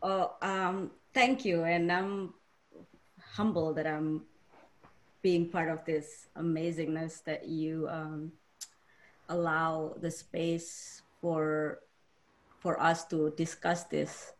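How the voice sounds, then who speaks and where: A woman from Indonesia with an Indonesian accent, giving an informal personal talk as a panel speaker, haltingly searching for words. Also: sits low, level tone, poor audio.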